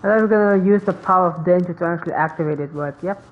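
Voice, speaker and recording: A woman giving casual game playthrough commentary, halting and muttering as she searches for words. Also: lowish voice. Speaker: game streamer. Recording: dull lo-fi mic, faint background noise.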